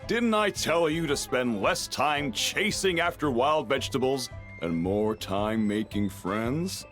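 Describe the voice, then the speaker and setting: A man's voice: mid-range voice, lively intonation, slow pace, fairly bright tone, soft background music. A man voicing a jovial middle-aged father and chef in a video game, folksy, hearty and teasing. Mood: amused, teasing.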